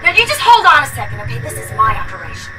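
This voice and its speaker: A woman's voice: sits high, very animated, fast talker, music in the background. An actress playing a brash, tough, impatient female commando, blunt and cocky in a game cutscene. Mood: defiant. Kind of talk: dramatic game cutscene acting.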